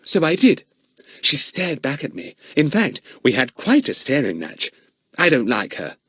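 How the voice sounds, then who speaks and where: A man's voice: fairly high pitch, huge pitch swings, measured pace, a bit bright, slightly rough, no echo, voice pushed up, heavily acted. A man voicing a haughty, bossy young girl who sulks and complains. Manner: sulky, complaining.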